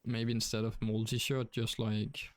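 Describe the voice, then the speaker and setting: A man's voice: lowish voice, flat delivery, a little slow, no echo. A young man from Denmark with a Danish accent, chatting casually while streaming a game, rambling with many fillers. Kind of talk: casual gaming stream chat.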